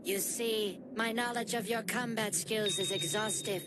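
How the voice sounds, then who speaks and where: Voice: mid-range voice, fairly even intonation, measured pace, noisy background, natural voice. A performer voicing a gloating android villain in an anime dub, declaiming grandly. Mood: smug. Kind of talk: dubbed anime acting. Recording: very loud music behind.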